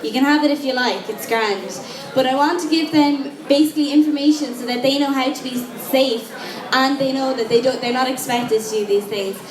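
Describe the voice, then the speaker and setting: Frank young Irish woman, high voice. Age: young adult. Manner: casual, frank, quick with asides. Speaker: student election candidate. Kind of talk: campaign speech. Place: lecture room with an audience. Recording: echoey room with crowd noise.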